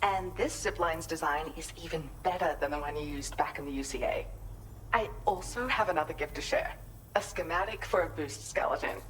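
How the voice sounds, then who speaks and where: A woman's voice: deep voice, a little fast, a little hiss or hum, close to an everyday voice, rough recording. An actress playing a kind, composed woman prospector and inventor in a video game, speaking politely and articulately in an explanatory way. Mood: friendly, explanatory. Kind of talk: scripted video game cutscene dialogue.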